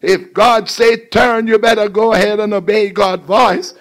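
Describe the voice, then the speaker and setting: A man's voice: high voice, fairly even intonation, a little slow. A man preaching a fervent call-and-response sermon, exhorting listeners and repeating phrases with emphasis.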